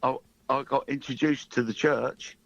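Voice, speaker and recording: A man in his fifties chatting as a musician guest on a radio show, halting and anecdotal, self-deprecating. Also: medium pitch, fairly even intonation, gravelly, a bit bright, no room sound, low-quality recording. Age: 50s. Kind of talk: casual radio interview chat.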